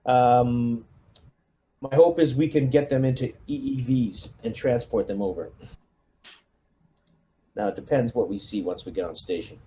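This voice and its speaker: Mellow-voiced man giving a military briefing. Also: medium pitch, a little hiss or hum. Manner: military jargon and brisk command phrasing. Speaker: player leading a military squad in a tabletop roleplaying game. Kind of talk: mission briefing in an online tabletop roleplaying game.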